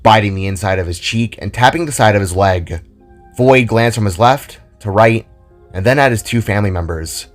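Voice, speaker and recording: A man's voice: fairly low pitch, moderate pace, soft background music. A man reading scripted fantasy fiction as a storyteller. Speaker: narrator. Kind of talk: scripted story narration with character voices.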